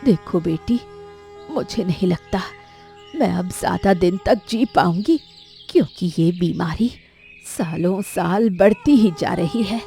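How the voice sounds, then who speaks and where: Woman acting a slow, weary, ailing old mother. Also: on the low side, creaky, warm tone. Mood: weary, resigned. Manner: weary, solemn, cautioning. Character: frail, ailing elderly mother, gentle but firm. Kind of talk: dramatic animated story acting. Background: music.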